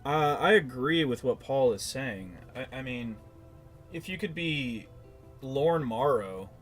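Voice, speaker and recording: A man's voice: medium pitch, expressive, takes it slowly, soft background music. A man giving casual, mocking commentary in a sarcastic and profane manner. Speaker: commentator reacting to a played recording.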